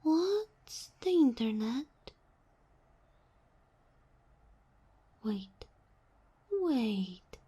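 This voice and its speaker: A woman plays a sweet young kitsune girl in an ASMR roleplay, high-pitched and unhurried. She speaks softly and breathily, often dropping close to a whisper. Her manner is naive and curious, full of hesitant wonder. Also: sing-song, husky, full character voice. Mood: puzzled, teasing. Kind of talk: ASMR roleplay, soft acted monologue.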